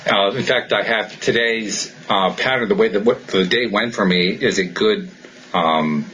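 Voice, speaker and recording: A man hosting a podcast in casual, unscripted chat, with an enthusiastic, excitable manner that tends to speed up. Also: on the low side, unhurried, a little hiss or hum, rough recording. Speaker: podcast host.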